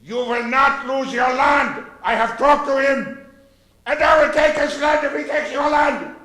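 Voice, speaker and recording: A man puts on a swaggering storybook cat who defends his own with threats. The voice is high and forceful, every word deliberately stressed. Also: vocal fry, reverberant, exaggerated voice, low-quality recording. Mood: triumphant. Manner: emphatic, deliberate. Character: bold talking cat in boots, protective and threatening.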